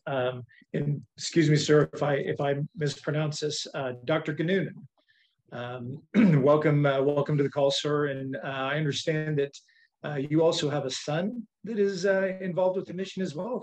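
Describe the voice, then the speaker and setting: A man's voice: a little high, a little mellow, low-quality recording. A man moderating a video call interview, polite and deferential, addressing guests as sir. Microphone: webcam mic on video call.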